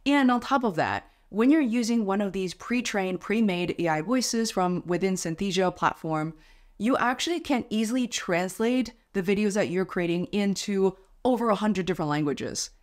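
A woman in her thirties, a native Mandarin Chinese speaker, chatting upbeat through a software tutorial in her home studio. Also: medium pitch, expressive, moderate pace, no echo. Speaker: host.

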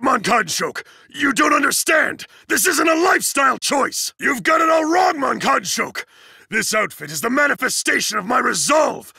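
An actor playing a huge, stern, fiercely loyal student enforcer in an anime fighting game, bellowing grandiose declarations. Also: male voice, extremely high pitch, creaky, pushed and bright, no room sound, studio quality, full character voice. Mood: flustered, indignant.

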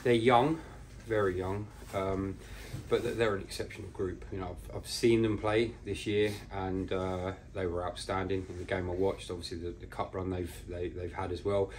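A man in his forties, a football manager, giving a measured post-match interview with pauses as he thinks. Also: deep voice, steady tone, darkish tone, slight background noise. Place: small bare indoor room.

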